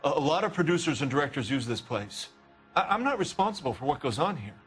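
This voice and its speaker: A man's voice: on the high side, very animated, quick pace, a bit bright, soft background music. An actor playing an evasive, defensive man in the film trade, guarded and clipped, in a video game cutscene.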